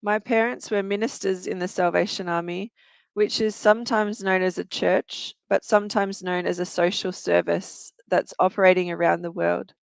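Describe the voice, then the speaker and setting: A woman's voice: medium pitch, vocal fry, clean tone, no room sound. A woman in her forties with an Australian accent, telling her personal story in an earnest, reflective way with occasional fillers. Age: 40s. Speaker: guest, youth worker and researcher. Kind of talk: personal storytelling in a talk show.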